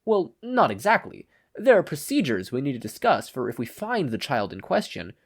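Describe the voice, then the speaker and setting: A man's voice: medium pitch, wildly swooping pitch, normal speed, husky, pitched above the performer's own voice, exaggerated voice. A man voicing a prim, exasperated and precise male official in a story. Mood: brisk, businesslike. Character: fussy, high-voiced male official, exasperated and analytical.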